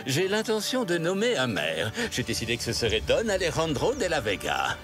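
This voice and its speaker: A man voicing a vain, smugly jovial governor in a cartoon, pompous, over loud music. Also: fairly high pitch, wildly swooping pitch, moderate pace. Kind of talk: animated cartoon voice acting.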